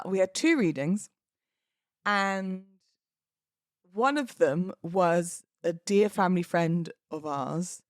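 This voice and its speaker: A woman's voice: lowish voice, dry recording. Chatty, theatrical British woman, dry close sound. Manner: theatrical, playful delivery. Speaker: podcast host and wedding celebrant. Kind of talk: chatty solo podcast talk.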